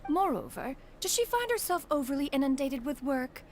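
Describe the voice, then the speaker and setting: A woman's voice: high-pitched, sing-song, normal speed, slight background noise. A woman voicing a proud, aloof, motherly immortal in a video game, with formal, archaic speech. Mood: inquisitive. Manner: formal, haughty, archaic wording.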